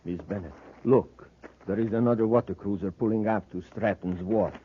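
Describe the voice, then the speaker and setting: An actor playing an obedient, rough henchman thug in a 1950s radio serial. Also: on the low side, normal speed, a little hiss or hum, natural voice. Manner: rough, simple, obedient to his boss. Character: henchman thug, deferential but ready for violence. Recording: muffled old 1950s radio recording.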